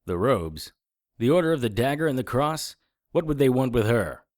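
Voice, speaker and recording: A man playing a sardonic occult investigator, narrating a noir audio drama in the first person with a wry, deadpan manner. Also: mid-range voice, moderate pace, dry recording, clean studio sound, natural voice. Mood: sardonic, unimpressed. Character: hard-boiled, sardonic male occult investigator. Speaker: narrator and lead character.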